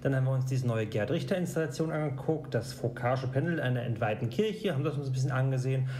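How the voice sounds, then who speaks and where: A man from Germany, co-hosting a podcast in a relaxed, joking way. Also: medium pitch, fast talker. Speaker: podcast co-host. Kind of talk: casual podcast chat.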